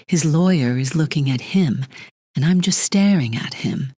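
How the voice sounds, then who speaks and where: An actress playing a cold, controlling corporate executive in an audio drama, speaking in a clipped, deliberate, imperious way with a breathy edge. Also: female voice, low voice, expressive, warm tone, dry recording, clean studio sound. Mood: unsettled, analytical. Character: cold, controlling female corporate executive, divorced, armor cracking.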